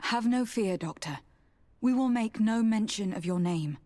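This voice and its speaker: An actress playing a courteous but insistent noblewoman diplomat in a video game, speaking formally and in a measured way. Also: fairly high pitch, lively intonation, dark tone. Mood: sober, courteous. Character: poised, authoritative young noblewoman legate, courteous but insistent. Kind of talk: dramatic video game acting.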